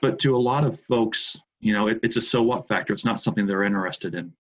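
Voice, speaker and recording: A man's voice: on the low side, quick pace, poor audio. A middle-aged American man from the Midwest, a podcast guest chatting casually and repeating words as he starts his thoughts. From Indianapolis, USA. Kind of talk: casual interview chat.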